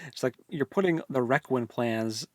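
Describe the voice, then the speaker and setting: A man's voice: medium pitch, measured pace, no echo. Man in casual, close dry-sounding book chat. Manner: informal, acts out paraphrased lines in passing. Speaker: book discussion podcast co-host.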